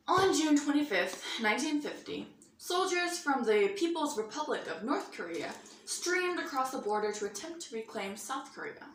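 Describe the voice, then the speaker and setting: A woman's voice: sits high, very animated, normal speed. A woman reading a mock 1950s news bulletin as anchor, theatrical and sarcastic, recorded in a roomy space away from the mic. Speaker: news anchor in a student-style mock newscast. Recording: roomy echo, distant mic, faint noise.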